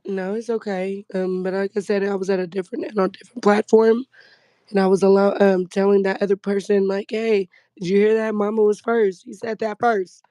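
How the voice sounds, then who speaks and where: A woman guest on a livestream, her voice going hoarse, explaining her point earnestly with many fillers. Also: medium pitch, level tone, brisk, mellow, no echo. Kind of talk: casual livestream chat.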